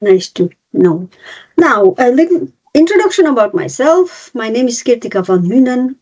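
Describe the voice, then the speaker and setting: A woman's voice: a little high, very animated, normal speed, darkish tone. A woman in her fifties from Nepal and India, presenting an online webinar as a cross-cultural trainer and explaining things step by step with frequent fillers and restarts. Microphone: video call. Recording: dull lo-fi video call audio.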